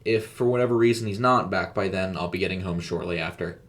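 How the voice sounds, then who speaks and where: A man's voice: sits low, lightly acted. A man as a harried suburban mother. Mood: frantic, distracted. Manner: hurried, hesitant, apologetically polite. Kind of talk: audio storybook character voice.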